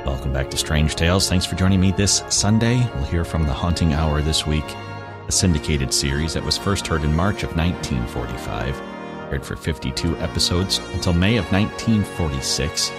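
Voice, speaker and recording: A man with an extremely low voice reads a scripted show introduction as host. Loud music plays behind him. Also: lively intonation, moderate pace.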